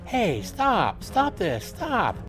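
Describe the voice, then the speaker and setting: A man's voice: sits high, huge pitch swings, drawn-out words, fairly bright tone, soft background music, pitched above the performer's own voice, exaggerated voice. A man voicing an earnest young woman in a visual novel, a pleading, hesitant peacemaker. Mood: pleading, upset. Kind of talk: visual novel character read aloud.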